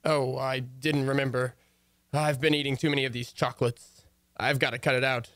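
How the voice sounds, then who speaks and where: Wry radio-comedy husband played by a man, bright, close and dry, wisecracking. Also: mid-range voice, little pitch movement, normal speed, no echo, lightly acted. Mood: wry, rueful. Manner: wry, hesitant. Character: easygoing, wisecracking husband narrating his marital troubles. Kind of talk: old-time radio comedy acting and narration.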